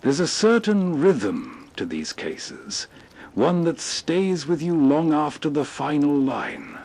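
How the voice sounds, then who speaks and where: A man narrates as a late-night radio host, slowly and deliberately. His voice is a little high for a man and rises and falls expressively in reflective scripted lines. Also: big pitch swings, darkish tone. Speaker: host of old-time radio show.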